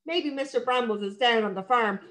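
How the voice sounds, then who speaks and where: A woman voicing a naughty, excitable young boy in a storybook. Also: a little high, brisk, close to an everyday voice. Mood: eager. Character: cheeky young boy, reckless.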